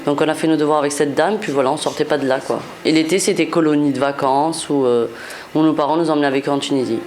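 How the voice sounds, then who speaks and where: A woman's voice: low voice, fairly even intonation, fast talker, a little mellow. A woman, a resident, recalling her childhood in a nostalgic storytelling way. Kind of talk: documentary interview.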